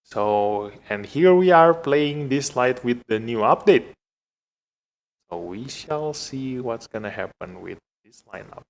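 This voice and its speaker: A man from Indonesia with an Indonesian accent gives casual live gameplay commentary as a streamer, thinking aloud in a halting way. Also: mid-range voice, expressive. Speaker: game streamer.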